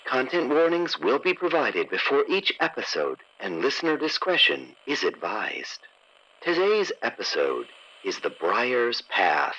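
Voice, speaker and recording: A man's voice: medium pitch, huge pitch swings, measured pace, pushed and bright, lo-fi sound. A man reading a scripted podcast introduction as announcer, formal and theatrical.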